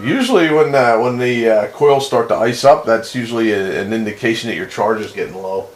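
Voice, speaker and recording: A man in casual on-air radio chat, speaking haltingly and repeating phrases, heard over a two-way radio. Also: medium pitch. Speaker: amateur radio net operator. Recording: noisy radio transmission with static.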